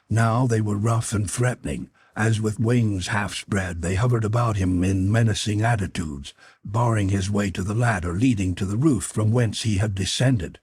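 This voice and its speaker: Very husky low-voiced male audiobook narrator. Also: darkish tone. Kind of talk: scripted literary narration.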